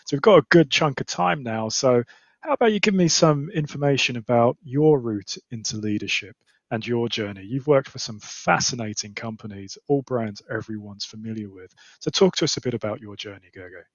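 An upbeat middle-aged man hosts an online chat through a headset. The sound is very dry and slightly dull, like lo-fi call audio, and his voice sits at a middle pitch. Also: brisk, no room sound. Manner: upbeat, welcoming. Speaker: host. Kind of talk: moderating a fireside chat. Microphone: headset on video call.

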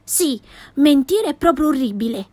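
A woman voicing a cheerful, boastful little girl who tells wild tales, in a lively, exclaiming way. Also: sits high, normal speed, no room sound, voice pushed up.

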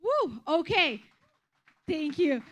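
A young woman hosting a live stage interview on a small venue stage, speaking into a handheld mic and cheering the band on excitedly. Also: high-pitched, slow. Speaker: event host.

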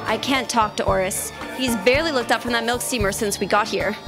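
A young woman playing an excitable, argumentative tentacled alien in a staged audio drama. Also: on the high side, brisk, over music, natural voice. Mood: baffled, argumentative. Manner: theatrical, argumentative. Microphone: stand microphone on a theatre stage.

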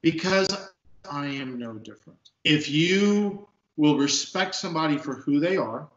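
A man in his fifties from Texas, a police sergeant, speaking as an interview guest in earnest, emphatic talk with a big booming voice, recorded on a video call from an office. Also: animated, measured pace. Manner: emphatic, repeats words when stressing a point. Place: office at a desk. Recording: echoey, dull video call audio.

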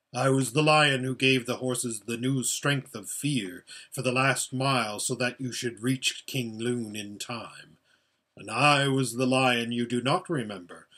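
A man voicing an unseen great lion in a storybook, solemn and measured, with a large deep voice. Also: bright, forceful tone, slightly rough.

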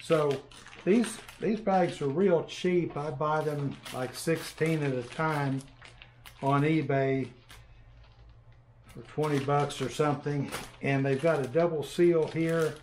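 An older man in a workshop, calmly demonstrating a task in casual how-to style, pausing with fillers as he works. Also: a little high, slight background noise. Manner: unhurried, plainspoken, pauses with fillers while working. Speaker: workshop host. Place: large workshop with shelves of printers, indoors.

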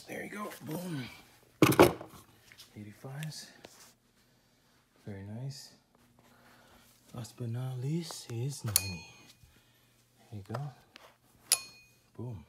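A man's voice: mid-range voice, lively intonation, slow pace, long pauses, heavy background noise. A man casually commenting while he unboxes and tests adjustable dumbbells, repeating short stock phrases. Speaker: product reviewer. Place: room with foam floor mats, indoors.

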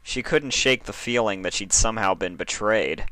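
Low voiced guy reading fiction aloud, bright crisp voice, really dry recording. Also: normal speed, dry recording. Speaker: fan fiction reader. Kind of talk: expressive storybook narration.

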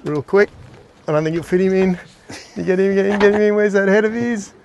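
A middle-aged man hosting a fishing show, giving excited, exclaiming commentary from a small boat. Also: high-pitched, a little fast, gravelly, lo-fi sound, a little hiss or hum. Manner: enthusiastic, exclaiming, talks to the fish and his mate.

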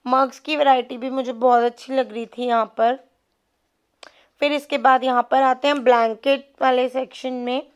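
High-pitched chatty woman. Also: steady tone, normal speed, smooth. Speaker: shopping vlogger. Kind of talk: casual vlog voice-over with English words mixed in.

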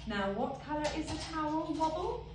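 A woman's voice: high voice, big pitch swings, moderate pace, music in the background, voice pushed up. A woman voicing a patient, playfully firm mother bear in a storybook, coaxing in sing-song fashion in an echoey room. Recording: echoey room, distant mic, background noise.

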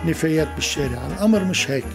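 A woman's voice: low voice, expressive, very fast. A woman speaking in public. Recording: crowd noise and music behind.

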